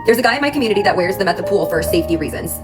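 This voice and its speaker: Rapid-fire woman over music. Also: medium pitch, expressive. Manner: rapid, matter-of-fact. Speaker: storyteller in clip. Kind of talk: fast listed anecdotes.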